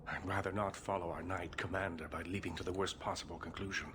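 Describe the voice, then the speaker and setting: Man playing a low-voiced, grave mage leader. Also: fairly even intonation, noisy background, lightly acted. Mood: grave, guarded. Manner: formal, measured, careful. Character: grave, measured elder male mage leader. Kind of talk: video game cutscene acting.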